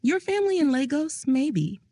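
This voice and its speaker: A woman voicing a male crime boss who speaks calmly and with measured command. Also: high-pitched, no room sound, studio quality, voice pushed up. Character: controlled male mafia boss, calm and steady. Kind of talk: audio drama reading.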